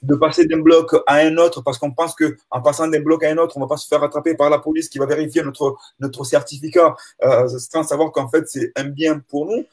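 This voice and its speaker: A man in his forties with a husky, slightly high voice asks a guest long, winding questions. He often pauses to search for words and keeps circling back to rephrase. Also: steady tone. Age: 40s. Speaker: interviewer.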